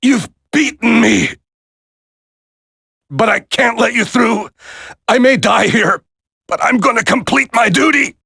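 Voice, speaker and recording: An actor playing a wounded, dying soldier in a video game, halting and strained with pain. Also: male voice, extremely high pitch, gravelly, bright, forceful tone, slightly rough, no echo, clean studio sound, heavily acted. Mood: defiant. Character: wounded, dying soldier, desperate but dutiful. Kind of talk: melodramatic game acting.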